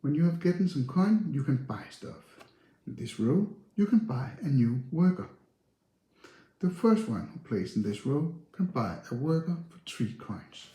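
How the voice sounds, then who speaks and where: A man presents the rules of a board game step by step. His voice is soft-edged and mellow, with very varied intonation. The recording has a clear room echo. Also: on the high side, sing-song, unhurried. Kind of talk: instructional narration, explaining game rules. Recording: roomy, echoey sound.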